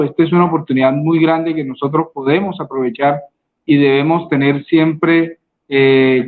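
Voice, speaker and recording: A man, a school rector giving a courteous, grateful welcome speech in measured phrases over an online call with thin audio. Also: medium pitch, narrow, tinny audio. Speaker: school rector, guest speaker.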